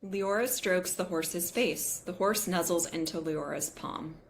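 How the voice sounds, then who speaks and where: A woman's voice: lowish voice, measured pace, gravelly. A woman reading screenplay stage directions as a narrator in a scripted style.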